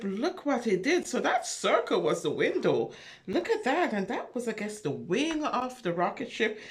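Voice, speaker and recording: A woman reading a picture book aloud to preschoolers, expressive and full of wonder, pausing to put questions and little asides to her young listeners. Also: on the high side. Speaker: children's storyteller.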